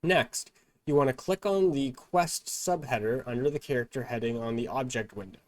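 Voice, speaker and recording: Male tutorial presenter, mid-pitched, instructional and measured, recorded very dry. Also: darkish tone, no echo. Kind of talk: step-by-step software tutorial narration.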